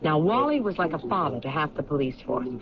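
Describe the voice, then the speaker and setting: An actor playing a persistent police lieutenant who questions a suspect firmly. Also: male voice, on the high side, sing-song, quick pace, a crowd in the background. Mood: probing. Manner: firm, probing questions. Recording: dull lo-fi 1970s radio play.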